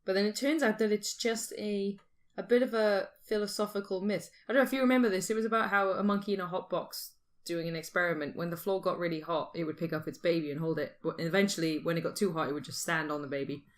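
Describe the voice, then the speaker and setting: A woman's voice: fairly low pitch, fairly even intonation, fast talker. A woman co-hosting a podcast in casual, teasing, informal conversation. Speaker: podcast co-host.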